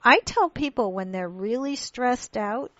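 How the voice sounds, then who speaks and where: A woman's voice: fairly high pitch, animated, unhurried, a bit bright, no echo, highs cut off. A woman born in Pakistan hosting a radio talk show, speaking earnestly in casual chat and often restarting her sentences.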